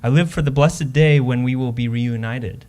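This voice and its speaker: A man's voice: medium pitch, normal speed, a little mellow, natural voice. A man playing a devoted Civil War soldier, earnestly reading a formal love letter to his wife. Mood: devoted longing. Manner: earnest, formal, old-fashioned phrasing.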